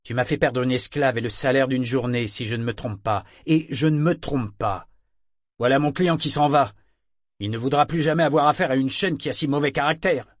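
Man playing a gravelly slave broker, falsely polite, fast, biting, mid-pitched, dry storybook recording. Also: fairly even intonation, no echo, natural voice. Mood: menacing. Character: small agile male slave broker, mock-affable and cruel. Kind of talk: audiobook character reading.

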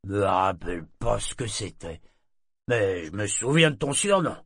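A man's voice: fairly low pitch, very animated, measured pace, a bit bright, no room sound, studio quality, full character voice. A man voicing a drunken, gap-toothed old sailor who rambles and slurs his words. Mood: boozy, rambling. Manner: slurred, mumbling drunken talk.

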